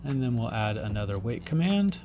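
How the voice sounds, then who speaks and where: A man presents a software tutorial in an informal way, explaining each step while he works through it. His voice sits at a slightly high pitch for a man, and there is faint music behind him. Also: very animated, a little fast. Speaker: tutorial instructor.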